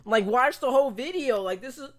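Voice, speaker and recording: Casting: young American male reaction host, jokey and slangy, widely swinging pitch, close dry mic. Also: fairly quick, no room sound. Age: young adult. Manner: jokey, animated, slangy, often laughing. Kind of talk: casual reaction commentary. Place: small room, indoors. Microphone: desk condenser mic with pop filter.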